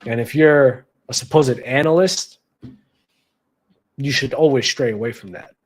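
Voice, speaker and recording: A man's voice: medium pitch, a little slow. A young adult man hosting a basketball talk show, giving blunt casual opinion commentary with slang and lots of repetition for emphasis.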